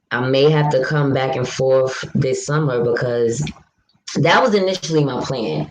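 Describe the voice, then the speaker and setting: A young woman from New York with a deep voice, chatting casually with viewers on a livestream in a frank, talkative way. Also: a little mellow, poor audio. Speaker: livestream vlogger. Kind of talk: casual live chat, answering viewer comments.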